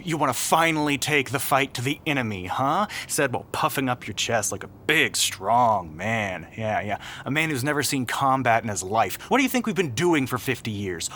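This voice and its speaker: A man playing a troubled, bitter young military telepath navigator in an audio roleplay, volatile yet apologetic, with halting, stammering delivery. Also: medium pitch, fairly bright tone. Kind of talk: audio roleplay acting addressed to the listener. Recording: clearly noisy background ambience.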